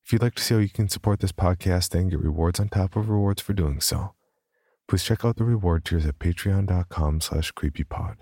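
A man's voice: low voice, fairly quick, mellow, slightly rough, no room sound, clean studio sound. A man hosting a podcast, reading out scripted announcements. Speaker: podcast host.

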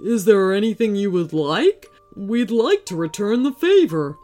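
A woman voicing a big, gentle male horse, a steady and earnest guide in a children's story. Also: mid-range voice, unhurried, faint music behind. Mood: grateful.

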